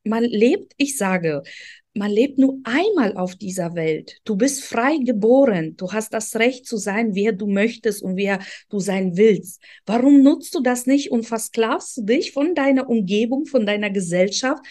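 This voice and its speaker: A woman's voice: fairly high pitch, lively intonation, a little slow, dark tone. A woman from Ukraine, hosting an interview and talking in an earnest, motivational, direct way. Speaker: host. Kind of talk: interview conversation.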